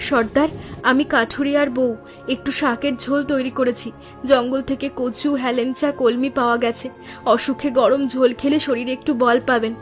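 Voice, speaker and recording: A kind village wife from a cartoon folk tale speaks in a clearly high voice, performed by a woman. She is caring, practical and reassuring. Music plays underneath her. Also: steady tone, moderate pace, natural voice. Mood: respectful, caring. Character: kind, resourceful village woodcutter's wife, young mother. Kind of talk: Bengali cartoon story voice acting.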